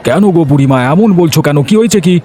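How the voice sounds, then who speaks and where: A man's voice: on the high side, expressive, racing, darkish tone, a faint crowd, lightly acted. A man voicing a kind-hearted village fishmonger in a cartoon, solicitous and eager. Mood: concerned. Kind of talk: Bengali cartoon voice acting. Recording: music behind the voice.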